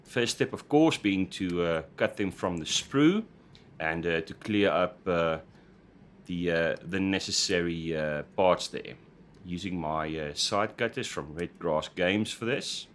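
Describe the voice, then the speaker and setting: A man hosting a scale model tutorial in voice-over, talking hesitantly with many "uh" fillers and restarts, his voice slightly croaky after an illness. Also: sits low, a little slow, a little hiss or hum. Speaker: scale model building host. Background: background music.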